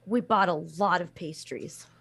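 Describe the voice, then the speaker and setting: A woman's voice: lowish voice, a little slow, vocal fry, heavy background noise. A woman playing in a tabletop game, chatting casually and playfully. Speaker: player. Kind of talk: casual chat.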